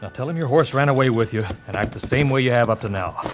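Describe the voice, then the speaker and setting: A man's voice: mid-range voice, fast, natural voice. Man playing an urgent undercover Texas Ranger. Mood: urgent, guarded. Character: undercover Texas Ranger, young-hearted, urgent and steady cowboy. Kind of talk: western audio drama acting. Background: music.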